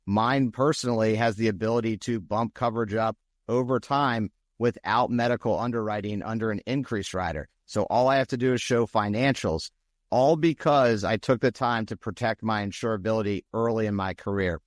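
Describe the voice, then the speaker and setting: Low-voiced man explaining steadily. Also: normal speed, no room sound, studio quality. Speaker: podcast co-host. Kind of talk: casual podcast discussion.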